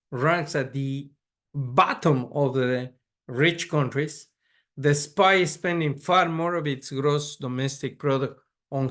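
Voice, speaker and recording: Measured man lecturing. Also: on the high side. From Ecuador. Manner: measured, frequent restarts and repeated words. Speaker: pediatric neurologist lecturer. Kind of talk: conference lecture.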